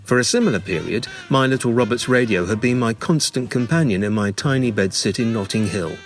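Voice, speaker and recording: A man narrating a scripted memoir in a measured, dramatic storytelling manner with deliberate pauses. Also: medium pitch, faint music behind.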